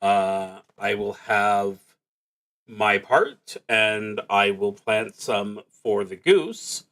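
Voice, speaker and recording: A man streaming a solo tabletop roleplaying game, thinking aloud in a halting, reflective way as he works through his moves. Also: lowish voice, fairly bright tone.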